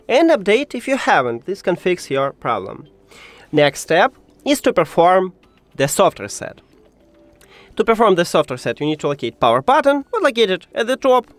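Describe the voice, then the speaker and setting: Higher-pitched non-native English man, lively intonation. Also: dry recording. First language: not English. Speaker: tech tutorial presenter. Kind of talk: step-by-step spoken how-to instruction.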